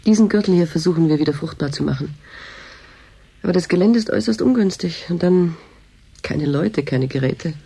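An actress playing a weary but stern middle-aged doctor who leads a station in a German radio drama, with a husky, mellow voice. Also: female voice, on the low side, brisk. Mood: weary, hopeful.

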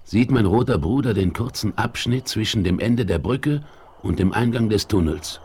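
An actor playing a calm, resolute frontier hero in a radio play, authoritative and courteous, with a husky voice. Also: male voice, fairly low pitch, normal speed.

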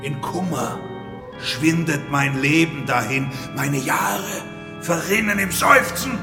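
Fanatical cult preacher, creaky, in a cavernous hall. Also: male voice, on the high side, animated, exaggerated voice. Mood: anguished lament. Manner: theatrical, declamatory, drawn-out delivery. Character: fanatical male cult preacher, solemn, menacing zealot. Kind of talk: German radio drama sermon and chanted prayer. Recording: echoey church-like hall, music behind.

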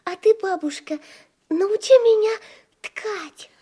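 Very high-voiced sweet little girl. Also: takes it slowly, warm tone. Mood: eager, pleading. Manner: tender, childlike, melodious. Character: sweet, eager young girl, beloved granddaughter weaver. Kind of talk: Russian fairy-tale audio play with songs.

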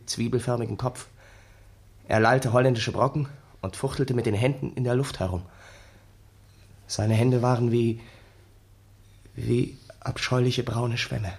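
An actor playing a haunted, bitter young ex-soldier, telling his story wearily and dramatically in a gravelly, husky voice for a 1960s German radio play. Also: medium pitch, steady tone, measured pace, pauses often, a little mellow. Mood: horrified recollection.

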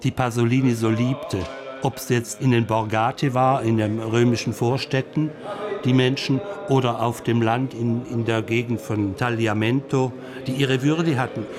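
A man giving reflective commentary, musing haltingly and circling back over his phrases. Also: medium pitch, steady tone. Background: music.

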